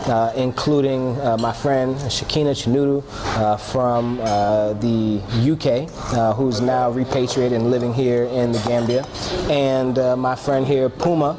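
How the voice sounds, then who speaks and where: A man hosting a travel vlog, interviewing guests in a relaxed way with many fillers. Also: medium pitch, a little slow, few pauses, noisy background. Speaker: host.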